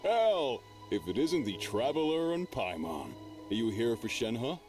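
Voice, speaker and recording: A man's voice: mid-range voice, very animated, unhurried, a little mellow, far from the mic, faint music behind. A man playing a friendly, easygoing middle-aged restaurant chef in a video game. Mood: welcoming, easygoing. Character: genial middle-aged male restaurant chef, kindly boss. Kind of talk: video game character dialogue.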